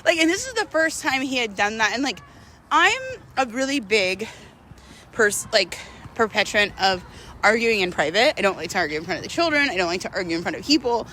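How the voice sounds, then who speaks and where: Woman, fairly high voice, casual slangy storytelling, conversational vlog, some outdoor traffic noise. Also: animated, brisk, sharp tone. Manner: conversational, slangy, filler-heavy "like". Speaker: storyteller vlogger.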